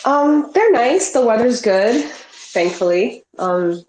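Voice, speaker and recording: A woman's voice: on the high side, expressive, slow pace, darkish tone. Stuffy-nosed woman in an echoey room. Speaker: guest artist. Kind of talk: casual chat. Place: live online audio call from New York. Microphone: headset. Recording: roomy, echoing online call.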